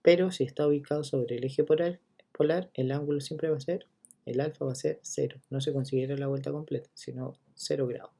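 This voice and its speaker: A man teaching a geometry lesson, counting steps out loud and checking in with listeners as he goes. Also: mid-range voice, steady tone, fairly quick, gravelly. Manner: walks through each step, checking in with listeners; counts items out loud one by one. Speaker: math teacher.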